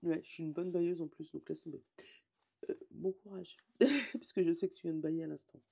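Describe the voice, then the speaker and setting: Woman, hoarse, gravelly, dark-toned, casual oracle reading, rambling. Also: fairly low pitch, fairly quick. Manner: rambling, self-correcting, reads card meanings aloud. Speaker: tarot and oracle card reader. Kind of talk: casual daily card reading.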